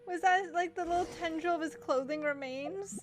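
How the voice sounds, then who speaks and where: A woman's voice: very high voice, normal speed, slight background noise. A young woman gamer chatting casually and reading game text aloud, full of fillers and giggles. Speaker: gaming streamer.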